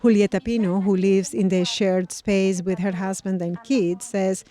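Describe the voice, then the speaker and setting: A woman reporter narrates a radio news feature in a measured, clear way. Her tone is mellow and warm, at a middle pitch. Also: flat delivery.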